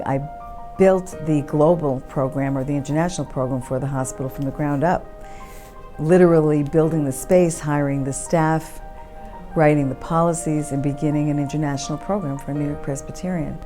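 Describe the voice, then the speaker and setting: A woman's voice: low-pitched, dark tone. A middle-aged or older woman giving a career testimonial as a senior executive. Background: music.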